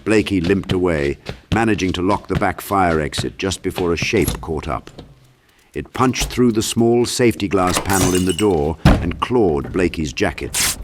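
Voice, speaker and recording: A man's voice: deep voice, heavy background noise. A man reading a horror audiobook as a narrator, in a theatrical, intense storytelling manner. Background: sound effects with clicks.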